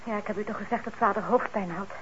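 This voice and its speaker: A woman's voice: medium pitch, quick pace, a bit bright, close to an everyday voice. A woman playing an indignant, protective daughter in a radio drama, curt and disapproving. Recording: dull, noisy old radio recording.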